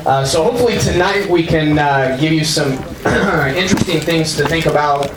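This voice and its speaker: A loud, chatty man gives a casual conference talk with plenty of fillers and restarts. His somewhat high voice is heard from a distance, echoing around a large university lecture hall with audience noise behind him. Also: normal speed. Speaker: conference speaker. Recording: echoey hall picked up from far off.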